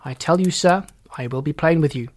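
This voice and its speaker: A narrator playing an artful gentleman villain who speaks formally, measured and coolly indignant. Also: medium pitch, close to an everyday voice. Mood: defiant, composed.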